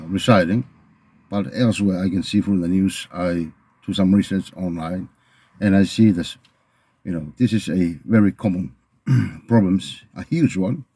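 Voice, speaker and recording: Male vlogger, middle-aged, Tongan accent. Dark, relaxed tone, earnest and halting delivery, close dry sound. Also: sits low, slightly rough, dry recording. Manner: earnest, halting, repeats phrases. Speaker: video channel host. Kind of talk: reflective unscripted monologue to camera.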